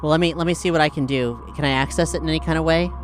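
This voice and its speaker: A man with a fairly high voice plays in a tabletop role-playing session. He speaks casually in character, often repeating himself as he thinks aloud, over faint music. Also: fairly even intonation, very fast.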